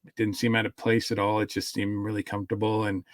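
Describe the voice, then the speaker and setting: A man's voice: lowish voice, completely flat delivery, fast talker, no echo, studio quality. A man hosting a podcast, giving his review in casual, thoughtful talk that comes in short, hesitant bursts. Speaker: podcast host.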